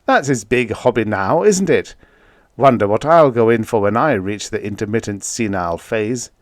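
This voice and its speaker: A man's voice: lowish voice, expressive, moderate pace, dry recording, studio quality, natural voice. A man voicing a genial, avuncular elder president in an audiobook, with an emphatic, hearty manner. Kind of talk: audiobook character acting.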